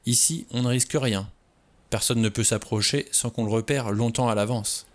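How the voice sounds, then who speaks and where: A man's voice: mid-range voice, steady tone, normal speed, natural voice. A man reading an audiobook, voicing a cool, self-assured man with a dry, mocking way of talking.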